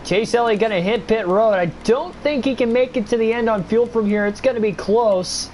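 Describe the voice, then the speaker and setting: Young male streamer, congested, voice worn; excitable play-by-play with casual asides, noisy home mic. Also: high voice, normal speed. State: stuffy nose, voice getting worn. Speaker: streamer doing race commentary. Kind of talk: play-by-play sim racing commentary.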